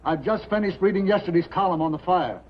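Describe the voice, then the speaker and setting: Man acting a high-pitched, stern newspaper boss. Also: fast, lightly acted. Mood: grave. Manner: firm, admonishing. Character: stern, practical male newspaper editor and boss. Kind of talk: 1950s American television drama acting. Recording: muffled, noisy 1950s television soundtrack.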